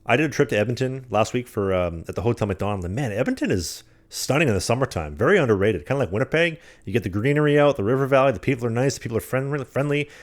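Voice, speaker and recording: A man's voice: medium pitch, expressive, fast talker, few pauses. A man co-hosting a podcast, joking and laughing through casual chat. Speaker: podcast co-host. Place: apartment recording studio.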